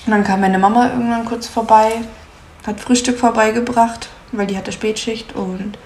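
A woman in her twenties from Munich, co-hosting a podcast in casual chat, chatty and quick to laugh, with frequent filler sounds. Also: fairly high pitch, steady tone, a little mellow. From Munich, Germany. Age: 20s. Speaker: podcast co-host. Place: at home in Munich. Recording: echoey room with faint noise.

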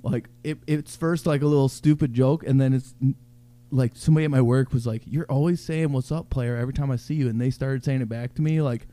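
A man's voice: medium pitch, steady tone, fairly quick, dark tone. Laid-back young man rambling casually. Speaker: podcast co-host. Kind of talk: casual crude banter.

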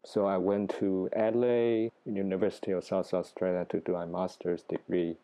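A man from Taiwan speaks English with a Taiwanese accent, his voice low and mellow. He answers in a thoughtful, plain-spoken way, with small grammar slips of a second-language speaker. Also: little pitch movement, normal speed. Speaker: guest researcher. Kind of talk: interview answers.

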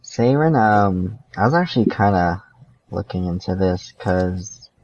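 A man's voice: sits low, lively intonation, a little slow, a little mellow, dull audio. A man talking casually as a co-host on a podcast. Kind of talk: casual chat.

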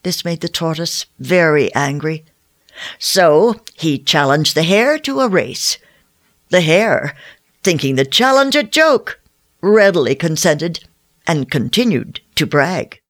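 A storyteller narrating a children's fable from a script in an expressive way. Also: medium pitch, slow.

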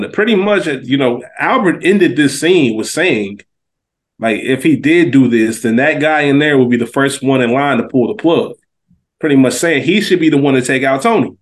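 A man's voice: medium pitch, normal speed. American man explaining emphatically, mid-range voice. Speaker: podcast co-host. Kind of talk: casual analytical TV discussion.

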